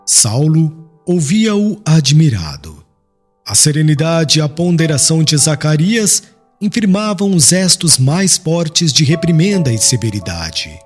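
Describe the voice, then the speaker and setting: A man's voice: on the high side, sing-song, unhurried, soft background music. A man narrating a literary audiobook in a formal, dramatic manner.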